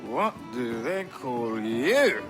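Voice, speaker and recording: Slow, tipsy flirtatious gentleman. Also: mid-range voice, sing-song. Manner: florid, halting. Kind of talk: video game cutscene acting. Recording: loud music over the voice.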